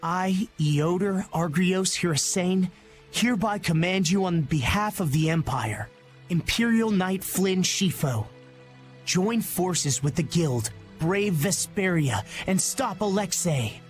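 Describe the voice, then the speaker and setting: A man voicing a gentle, earnest young prince in a video game, speaking in a formal, measured, dignified manner. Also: high-pitched, lively intonation, faint music behind. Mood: solemn command.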